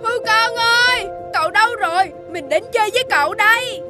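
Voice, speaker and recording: A woman voicing a kind, earnest small boy in an animated folk tale, with a childlike, expressive delivery. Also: very high-pitched, a little fast. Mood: playful, affectionate. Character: small boy, kind, pleading, devoted to a young deer. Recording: music under the voice.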